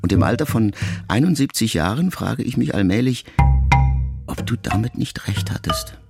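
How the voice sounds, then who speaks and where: Deep gravelly male audiobook narrator. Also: animated, normal speed. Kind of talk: audiobook reading of a letter. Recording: loud music underneath.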